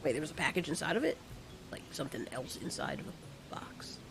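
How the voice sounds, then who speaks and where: A woman voicing a dim, sheepish, destructive male giant monster in an audio drama, defensive and halting. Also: sits low, wildly swooping pitch, whispered, voice pushed down, heavily acted. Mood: sheepish, confused.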